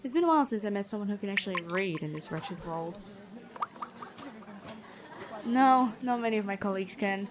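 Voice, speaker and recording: A woman playing a gentle but stern, bookish female prison guard in a fantasy ASMR roleplay, chatty and inquisitive. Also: medium pitch, expressive, moderate pace, long pauses, background noise, lightly acted. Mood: chatty, wistful. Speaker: ASMR voice actor.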